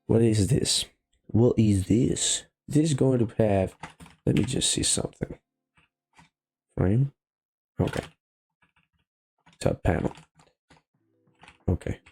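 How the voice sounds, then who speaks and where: Male coding host, Venezuelan Spanish accent, gravelly and mellow, thinking out loud in a casual, halting walkthrough. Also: lowish voice. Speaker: programming tutorial host. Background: faint music, keyboard typing.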